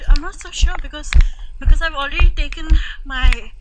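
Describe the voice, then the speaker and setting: A woman's voice: high voice, normal speed. A young woman from India, chatting casually as an interviewee and filling her sentences with "like". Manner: chatty, lots of "like" fillers. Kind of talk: casual conversation. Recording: loud background noise.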